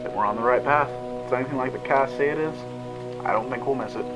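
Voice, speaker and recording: A man acts a stern guard in a naturalistic sci-fi audio drama. His voice is middle-pitched, his manner terse, plain-spoken and defensive. Strong music runs underneath the dialogue. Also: fast talker, highs cut off, natural voice. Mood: puzzled, wary. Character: stern, dutiful male guard, self-justifying old friend.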